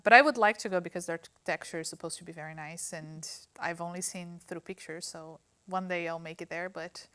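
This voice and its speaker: A woman with a Brazilian Portuguese accent, whose first language is Portuguese, talks about her path as a film professor. Her voice is smooth and clear and sits slightly low, and she speaks in a friendly way, often pausing with ums. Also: fairly bright tone. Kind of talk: interview answers.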